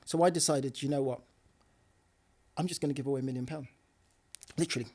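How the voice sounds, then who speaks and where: A man's voice: medium pitch, fairly even intonation, quick pace, a little mellow. A young man with slangy speech, a property investor talking animatedly on a podcast, repeating himself and laughing often. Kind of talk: casual podcast conversation.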